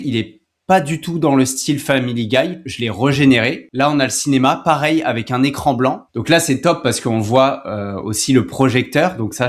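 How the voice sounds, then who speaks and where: A man hosting a casual, conversational step-by-step screen tutorial, talking informally and directly to the viewer. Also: medium pitch. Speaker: tutorial host.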